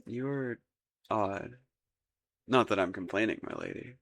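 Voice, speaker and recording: A man's voice: fairly low pitch, measured pace, vocal fry, fairly bright tone. A young man voicing a shy, awkward crown prince in a romantic audio roleplay, stumbling over his words out of embarrassment. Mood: bashful. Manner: flustered and self-deprecating, stumbling over words. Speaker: ASMR voice actor.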